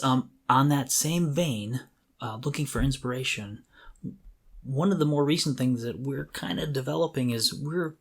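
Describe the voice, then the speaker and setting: A middle-aged man co-hosting a podcast, talking casually and reflectively as he thinks aloud. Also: mid-range voice. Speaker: podcast co-host. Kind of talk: casual conversational talk.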